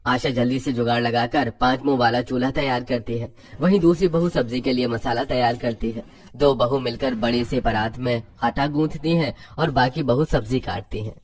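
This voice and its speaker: A man's voice: medium pitch, normal speed, recorded from a distance. A man narrates a scripted story in a mid-range voice. He sounds set slightly back from the microphone, telling the tale as its narrator.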